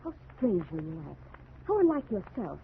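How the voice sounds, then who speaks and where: A woman's voice: medium pitch, sing-song, normal speed, narrow, tinny audio. An actress playing a concerned, reproachful wife who stands her ground in an old-time radio drama, earnest and dramatic, on a thin, noisy vintage recording.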